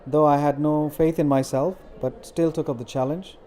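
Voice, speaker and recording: Earnest middle-aged man, mellow voice. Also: fairly high pitch, fairly even intonation. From India. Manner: earnest, measured with frequent fillers. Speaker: interviewee, community organizer. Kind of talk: interview answers.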